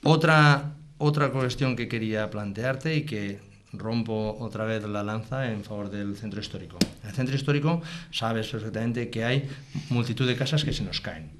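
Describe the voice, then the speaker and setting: A man in a local TV debate arguing his point in a measured way, with frequent hesitations. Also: on the low side, slight background noise. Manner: measured, argumentative, many hesitation fillers. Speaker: debate participant.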